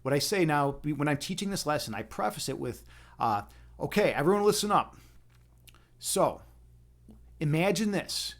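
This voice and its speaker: A man's voice: fairly high pitch, expressive, moderate pace, pauses often. Chatty man explaining chess moves. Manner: rambling, with frequent fillers and tag questions like "right?". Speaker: chess teacher and livestream host. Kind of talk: casual livestream chat and chess explanation.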